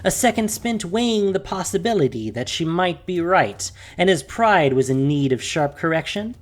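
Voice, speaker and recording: A woman with a deep voice narrates a dramatic story. Her pitch moves widely for emphasis. The delivery is theatrical and intense, clearly scripted narration. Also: animated, moderate pace.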